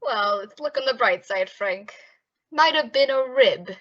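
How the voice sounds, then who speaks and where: A woman's voice: on the high side, lively intonation, a little slow, a bit bright. A woman playing a smug, menacing male nightclub gangster boss in old-time radio style, mock-polite and taunting. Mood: amused. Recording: dull lo-fi audio.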